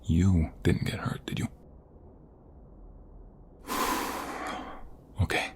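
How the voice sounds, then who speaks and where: A man acting a protective mobster husband in an ASMR romantic roleplay, tender but fierce when threatened, with a soft, breathy voice that often drops to whispers. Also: drawn-out words. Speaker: voice actor.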